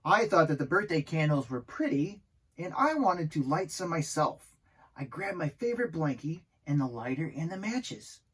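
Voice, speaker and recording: A man's voice: a little high, big pitch swings, normal speed, fairly bright tone, husky, heavily acted, low-quality recording. A man voicing an earnest, childlike young dog in a children's storybook, speaking simply to kids. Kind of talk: children's storybook read aloud.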